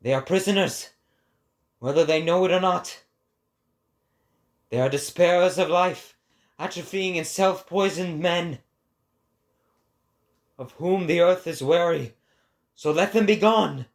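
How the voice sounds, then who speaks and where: Impassioned male prophet acted by a man, declamatory stage-style philosophical reading, fairly high voice, unhurried and slow. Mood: grave, scornful. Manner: declamatory, oratorical.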